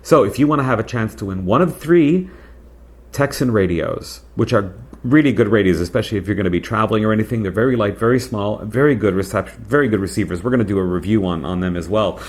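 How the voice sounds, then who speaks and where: A man with a fairly low voice presents a product review as the host. His delivery is casual and conversational, with emphatic points addressed straight to the viewer. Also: quick pace.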